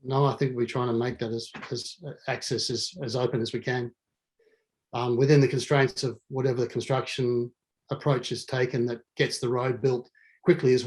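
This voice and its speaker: A man speaking for the council at a community session. He answers each question in a measured, explanatory way. His voice is mid-pitched. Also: a little mellow. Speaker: council engineer or project officer. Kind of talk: answering questions at a public meeting.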